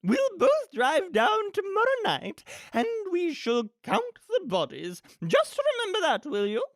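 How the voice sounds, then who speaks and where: A woman's voice: very high-pitched, wildly swooping pitch, unhurried, dry recording, clean studio sound, voice pushed up, full character voice. A woman voicing an imperious, gleefully murderous rich villainess from a storybook, with a haughty, theatrical, commanding manner. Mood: gleefully menacing.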